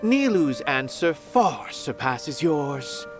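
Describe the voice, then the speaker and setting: A man's voice: fairly high pitch, very animated, slow pace. A man playing a haughty, philosophical former artist with a lofty, declamatory delivery in a game. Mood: lofty, pondering. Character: haughty, philosophical male former artist, secretly soft-hearted. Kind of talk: dramatic game dialogue. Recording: game music behind.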